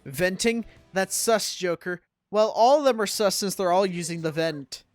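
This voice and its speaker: A woman's voice: mid-range voice, moderate pace, no echo. A young adult woman giving casual, jokey live commentary on a video game, full of rambling asides. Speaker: game streamer. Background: video game music.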